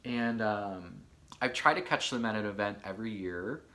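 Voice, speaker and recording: A man in his mid-thirties from Michigan hosts a podcast alone, chatting casually and animatedly with plenty of filler words. His voice sits fairly low yet sounds bright and forward, a little hoarse. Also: normal speed. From Michigan, USA. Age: 30s. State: hoarse from allergies. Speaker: podcast host. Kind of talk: casual solo chat.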